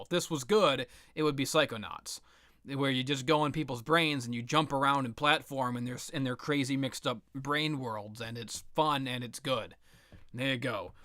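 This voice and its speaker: A man giving casual, rambling, opinionated video game commentary with sarcastic, profane ranting and a halting, repetitive delivery. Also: mid-range voice, bright, forceful tone, dry recording, studio quality. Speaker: video game reviewer and host.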